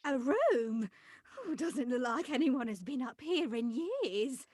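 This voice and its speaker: Woman voicing a high, eager bookish cartoon earthworm. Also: husky, no room sound, exaggerated voice. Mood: hushed wonder. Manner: exclaiming, expressive, drawn-out delivery. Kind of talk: animated cartoon table read.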